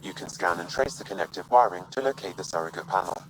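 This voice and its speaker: Game AI: calm, exact machine assistant, very low voice, measured, noisy backdrop. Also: male voice. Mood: impassive. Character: calm, precise male artificial intelligence assistant. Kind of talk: formal technical exposition in a video game. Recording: noisy ship ambience behind.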